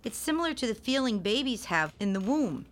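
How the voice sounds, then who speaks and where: An actress playing an anxious, weary, gentle mother of a premature newborn in a radio play, speaking earnestly and hesitantly. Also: a little high, big pitch swings, fairly quick, slight background noise. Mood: earnest, explaining.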